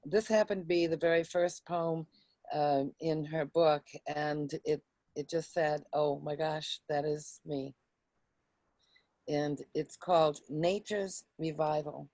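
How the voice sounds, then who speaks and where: A woman's voice: deep voice, fairly even intonation, slow, a little mellow, no echo. A woman talking casually and informally as a member and moderator of an online reading group. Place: online video meeting.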